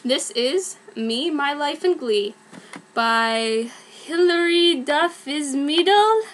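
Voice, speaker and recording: A young adult woman giving an amateur dramatic reading of badly written fanfiction, sounding out its mistakes deliberately. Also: sits high, expressive, a little hiss or hum.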